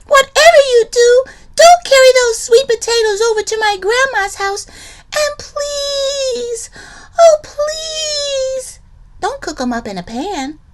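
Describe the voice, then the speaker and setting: A woman voicing a small country girl in a storybook, pitched very high and crying out in pleas. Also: normal speed, few pauses. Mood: pleading. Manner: very high, shrill, pleading cries. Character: frightened little country girl pleading.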